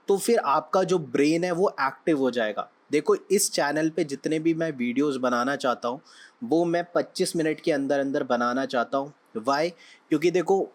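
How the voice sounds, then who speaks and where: A young man teaching a trading lesson, his voice sitting a little high for a man. He sounds slightly hoarse. He talks in a loose, conversational way and keeps checking that his listeners follow. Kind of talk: explaining lesson with analogies.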